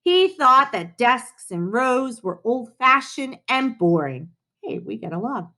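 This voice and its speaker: A woman reading a children's storybook aloud in an expressive way, with dramatic pauses and playful touches. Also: a little high. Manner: animated, unhurried, dramatic pauses, playful asides.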